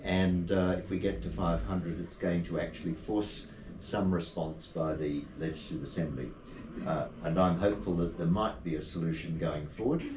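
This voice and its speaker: A man giving an earnest, measured short address at a poetry evening, with some ums and uhs. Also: low-pitched. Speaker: community advocate. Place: indoor venue at a poetry evening. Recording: echoey room, distant mic, noisy.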